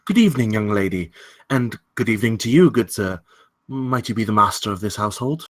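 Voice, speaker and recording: An actor playing a middle-aged English merchant with an English accent, florid, polite and well-spoken, in a screenplay table read. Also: male voice, lowish voice, lively intonation, brisk, dry recording, studio quality, natural voice. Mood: genial, polite.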